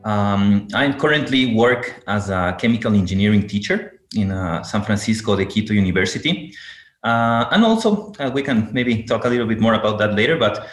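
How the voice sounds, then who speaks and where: Low-voiced man from Ecuador, hesitant, echoey room. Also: moderate pace. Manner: hesitant, many fillers and restarts. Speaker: podcast guest, university teacher. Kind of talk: casual interview talk.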